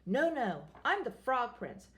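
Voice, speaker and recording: A woman's voice: a little high, sing-song, takes it slowly, a bit bright, a little hiss or hum, exaggerated voice. A nervous young frog prince, played by a woman, begging one witch after another for help. He stumbles and repeats himself in a breathless hurry, and his voice jumps around a lot. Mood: pleading. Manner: flustered, pleading, tripping over words.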